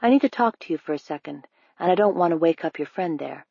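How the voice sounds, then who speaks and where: Woman voicing a soft-spoken menacing man. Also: fairly low pitch, animated, fast talker, vocal fry, husky, no echo, dull audio, exaggerated voice. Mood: wheedling. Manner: soft, wheedling.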